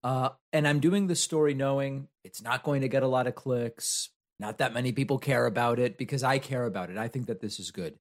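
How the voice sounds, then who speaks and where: A man from Argentina whose first language is Spanish hosts a solo political commentary segment. He talks in a conversational, argumentative way and answers objections he raises himself. His voice sits at a middle pitch for a man. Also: brisk, few pauses.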